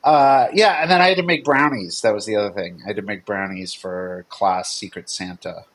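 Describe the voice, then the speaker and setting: A man's voice: lowish voice, moderate pace, fairly bright tone. A man co-hosting in a casual, unscripted chat, talking in a rambling, jokey way. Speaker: co-host and game developer.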